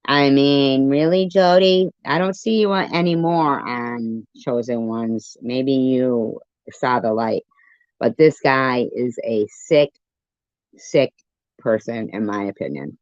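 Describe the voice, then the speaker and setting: A woman hosts a casual live stream commentary. She talks slowly and deliberately, often repeating herself. The sound is dull and lo-fi, with very little room to it. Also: extremely low pitch, darkish tone, no echo.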